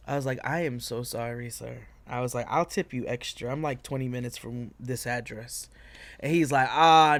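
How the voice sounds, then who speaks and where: A woman with a deep voice co-hosting a casual recap chat, telling stories with animated delivery and frequent laughter. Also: no echo. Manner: animated storytelling, laughs often. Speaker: podcast co-host.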